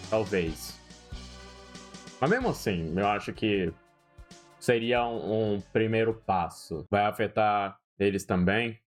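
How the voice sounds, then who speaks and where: A man's voice: on the low side, takes it slowly, soft background music. A young man with a Brazilian Portuguese accent, a streamer giving rambling, profane, slangy gaming commentary.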